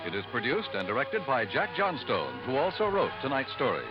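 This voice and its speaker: A man's voice: medium pitch, huge pitch swings, brisk. An American man announcing a radio show, reading in formal, scripted 1950s broadcast style. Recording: dull vintage radio recording, music behind.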